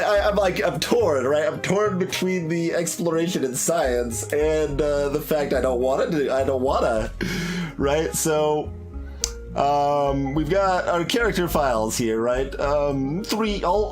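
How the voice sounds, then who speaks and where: A young man giving commentary on a game, musing aloud with many fillers and restarts. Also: on the high side. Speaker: gaming YouTuber. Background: soft game music.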